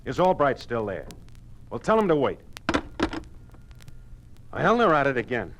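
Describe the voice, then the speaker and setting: A middle-aged American male voice of medium pitch playing an earnest, worried executive in an old radio play. He sounds firm and reasonable over a noisy vintage broadcast. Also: very animated, unhurried. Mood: weary, determined. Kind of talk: 1950s American radio drama acting.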